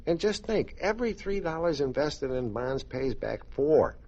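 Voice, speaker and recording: Animated, hearty radio-ad husband. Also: male voice, on the high side, normal speed. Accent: American. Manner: hearty, folksy salesmanship. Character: jovial, opinionated husband pitching savings bonds. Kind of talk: 1940s-50s radio commercial acting. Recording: muffled old radio broadcast recording.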